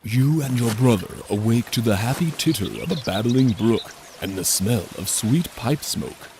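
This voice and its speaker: A man giving a deadpan, mock-solemn dramatic reading of a comic adventure story. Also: medium pitch, normal speed, slight background noise. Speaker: narrator.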